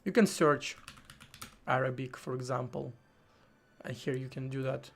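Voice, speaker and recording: A man live-streaming while he codes, thinking aloud in a halting way, with many fillers and restarts. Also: mid-range voice, steady tone, gravelly, slight background noise. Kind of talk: casual live-stream talk while coding.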